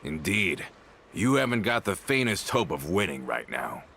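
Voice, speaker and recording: A formal aide: very low voice with a bright, tense edge, measured delivery. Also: male voice, big pitch swings, vocal fry, slight background noise, full character voice. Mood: composed. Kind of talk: game voice acting.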